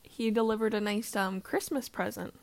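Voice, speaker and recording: Gravelly-voiced woman co-hosting a chat. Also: mid-range voice, normal speed. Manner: hesitant, lots of "um" fillers. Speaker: podcast co-host. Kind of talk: casual family chat. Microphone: shared mic, close to her.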